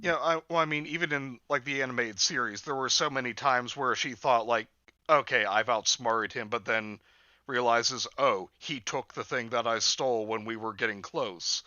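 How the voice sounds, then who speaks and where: A man's voice: medium pitch, normal speed, bright, forceful tone, no echo, muffled recording. A man co-hosting a casual conversation in a jokey, mocking manner. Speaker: podcast co-host. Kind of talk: casual chat.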